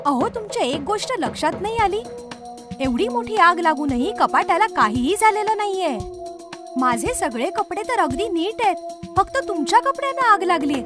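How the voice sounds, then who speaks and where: Woman playing a very high-voiced anxious young wife. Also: very animated, normal speed. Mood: puzzled. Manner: pleading, stammering when alarmed. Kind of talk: animated horror story acting. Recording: loud music under the voice.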